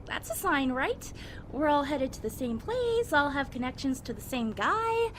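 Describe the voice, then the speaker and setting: Woman acting very high, bright young peacemaker. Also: big pitch swings, measured pace, heavily acted, low-quality recording. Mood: conciliatory. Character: earnest young woman survivor, peacemaking but firm. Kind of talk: amateur audio drama acting. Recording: faint background noise.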